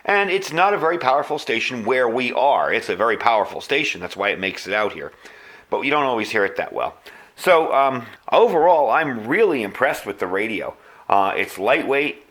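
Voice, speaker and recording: A man's voice: medium pitch, sing-song, normal speed, fairly bright tone. A man giving a casual, conversational hands-on product review indoors. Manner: conversational, informal. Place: indoors, small room at a tabletop. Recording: clear background room noise.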